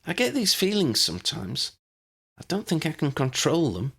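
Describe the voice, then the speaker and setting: A man's voice: a little high, huge pitch swings, normal speed, pushed and bright, slightly rough, pitched above the performer's own voice, exaggerated voice. A man voicing a young girl who is unsure and anxious, in a hesitant, pleading manner for a storybook.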